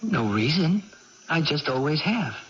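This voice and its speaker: An earnest young man, high and expressive, as a devoted brother in 1980s radio drama. He coaxes tenderly and explains simple things with patience. The sound is muffled, with faint noise. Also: a little slow. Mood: tender, coaxing.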